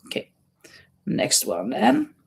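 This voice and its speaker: A woman's voice: takes it slowly, a little mellow. A Norwegian woman with a Norwegian accent, chatting casually to viewers as the host of a livestream. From Norway. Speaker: live stream host, crocheter.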